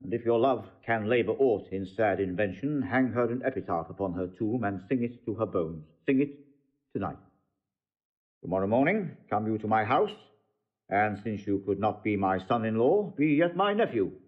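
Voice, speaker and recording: A man's voice: lowish voice, lively intonation, normal speed, phone-quality sound. An actor playing a dignified, aggrieved old nobleman in classical Shakespearean audio drama. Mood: solemn. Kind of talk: classical Shakespearean radio acting.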